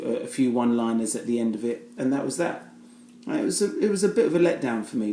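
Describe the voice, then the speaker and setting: A man's voice: mid-range voice, mellow, echoey room, slight background noise. A British-born man giving a casual, rambling spoken review, full of fillers and restarts. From Britain. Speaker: film reviewer.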